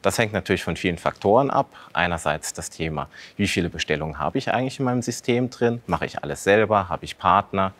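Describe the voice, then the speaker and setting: Low-voiced man, explaining as an expert. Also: animated, quick pace, hardly any pauses, a bit bright. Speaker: consultant, expert guest. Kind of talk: explanatory expert panel talk. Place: large dark indoor studio showroom.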